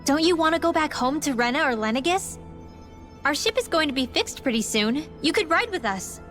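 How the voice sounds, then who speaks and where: A woman's voice: high voice, animated, fairly quick, a bit bright, soft background music. An actress playing a friendly, perky young girl in a video game. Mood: friendly, curious. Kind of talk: video game cutscene voice acting.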